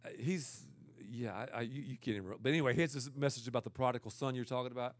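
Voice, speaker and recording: A pastor with a naturally loud voice who teaches with energy and repeats words for emphasis. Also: male voice, mid-range voice, fast, no echo. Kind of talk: energetic teaching talk to church leaders.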